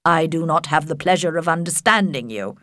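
A woman voicing a calm, wry older father who speaks in a slow, measured, deadpan way. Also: deep voice, no room sound, studio quality. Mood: dry. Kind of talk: audiobook character voice.

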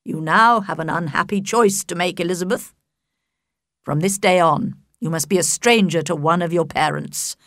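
A woman voicing a calm, wry older father who speaks in a slow, measured, deadpan way. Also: fairly low pitch, no room sound, studio quality, lightly acted. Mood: dry. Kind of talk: audiobook character voice.

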